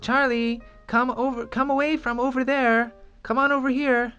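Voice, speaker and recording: A storyteller voicing a schoolteacher woman who leads a class through a zoo and speaks in a bright, emphatic teaching voice. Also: high voice, little pitch movement, normal speed, clear, resonant tone, lo-fi sound, soft background music, voice pushed up. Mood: instructive.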